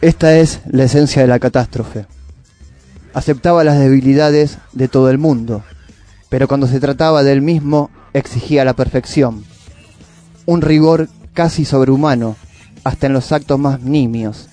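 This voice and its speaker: A man's voice: mid-range voice, normal speed, mellow, faint music behind. A man with a Rioplatense Argentine accent presenting a radio literary segment, reading poetic prose and monologue in a reflective way.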